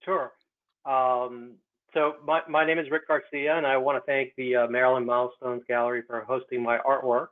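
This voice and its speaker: A man's voice: mid-range voice, little pitch movement, moderate pace. A man, an artist, speaking in a casual online talk, hesitant and full of fillers. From United States. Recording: tinny phone-like line.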